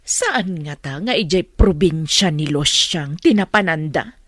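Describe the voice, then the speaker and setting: A woman's voice: low-pitched, lively intonation, a little slow, fairly bright tone, no room sound, clean studio sound, exaggerated voice. An actress playing a nosy, uneasy mother in an Ilocano radio drama, with an Ilocano accent. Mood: probing, uneasy.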